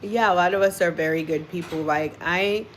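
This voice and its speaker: A woman's voice: on the low side, moderate pace, a little hiss or hum, low-quality recording. A woman with a Jamaican accent, raised in the United States, chatting casually with viewers on a live stream and answering their comments with easy laughter.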